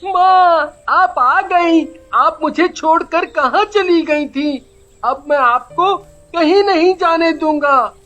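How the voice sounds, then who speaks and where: Lady doing an innocent little cartoon boy, high bright voice, super earnest, music under it. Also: expressive, slow, exaggerated voice. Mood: tearful joy, clingy. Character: innocent, trusting little boy who adores his mother. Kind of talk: Hindi children's cartoon dubbing.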